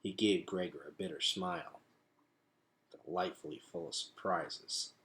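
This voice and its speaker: A man's voice: low voice, steady tone, unhurried, a bit bright. A man reading a storybook aloud as a storyteller, with dramatic, emphatic phrasing.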